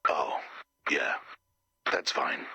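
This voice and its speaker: An actor playing a menacing, brooding slasher villain with a deep raspy growl and ominous deadpan delivery, in a comic horror game. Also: male voice, very slow, dry recording, full character voice. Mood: wounded, menacing.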